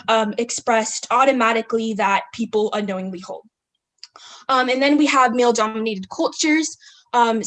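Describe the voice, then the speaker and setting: Young woman, student presenter, slightly high voice, reads slides with ums. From California, United States. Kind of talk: group presentation.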